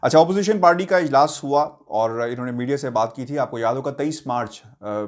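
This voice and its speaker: A man with a Pakistani accent hosting political news commentary, addressing viewers in a hesitant, halting way with deliberate emphasis on key words. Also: mid-range voice.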